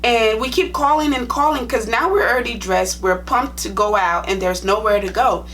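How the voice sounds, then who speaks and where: A woman's voice: a little high, normal speed, a bit bright, a little hiss or hum. Chatty young woman with a Liberian accent. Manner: chatty, direct, plain-spoken. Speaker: nursing vlogger. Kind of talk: casual storytime vlog.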